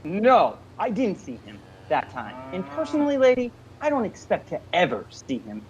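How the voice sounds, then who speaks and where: Radio play hitchhiker: man playing a blunt, colloquial drifter, very animated intonation, slight background noise. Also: sits high, normal speed, muffled recording. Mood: alarmed, annoyed. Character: brash, folksy, easygoing hitchhiker turning wary. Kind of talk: amateur radio thriller acting.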